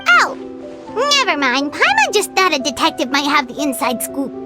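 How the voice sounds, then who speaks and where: A woman voicing a tiny, cheeky, childlike girl companion in a video game, squeaky and chirpy, exclaiming. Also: wildly swooping pitch, normal speed. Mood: mildly deflated. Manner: refers to self in third person, exclaiming. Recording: background music throughout.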